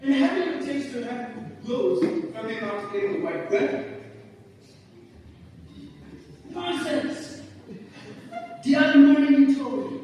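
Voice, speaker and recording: An amateur performer acting as a boisterous young village boy in a stage play, with a very high voice. The lines are declaimed slowly and stiffly, each phrase set apart. The sound is distant and swims in heavy echo. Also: lively intonation, darkish tone. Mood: explaining, boastful. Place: large reverberant hall, recorded from afar. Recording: very echoey hall, distant mic, faint noise.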